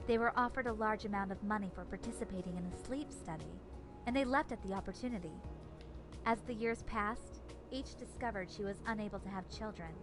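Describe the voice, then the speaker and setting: A woman playing a serious, guarded investigator in a visual novel, speaking in a measured, earnest way. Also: medium pitch, lightly acted. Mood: grave. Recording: music playing behind. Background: game music.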